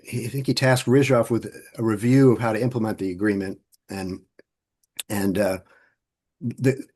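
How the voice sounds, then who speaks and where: A man interviewing for an oral history project, thoughtful and halting, often restarting his sentences. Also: lowish voice, fairly even intonation, darkish tone.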